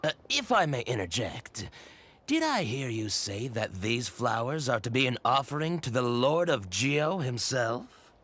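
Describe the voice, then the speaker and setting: An actor playing a humble, devout flower merchant in a video game, earnest, polite and deferential. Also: male voice, medium pitch, big pitch swings, measured pace, a bit bright, a little hiss or hum. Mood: earnest, reverent.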